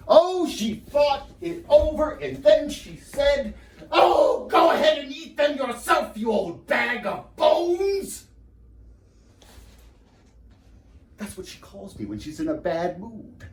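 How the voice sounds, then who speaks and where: An actor playing a nervous, henpecked husband who rambles and pities himself through a theatrical comic lecture monologue. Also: male voice, a little high, big pitch swings, brisk, a little mellow. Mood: bitter, exasperated. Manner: digressive, self-pitying, exclaiming then trailing off. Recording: roomy, echoing, distant mic with background noise.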